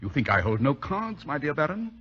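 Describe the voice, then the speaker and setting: An actor playing a shrewd, composed British gentleman detective in 1940s radio drama style, drily polite and theatrical. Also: fairly high pitch, very animated, a little fast. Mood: cool challenge. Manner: crisp, dry, urbane, theatrical. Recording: dull, lo-fi old radio recording.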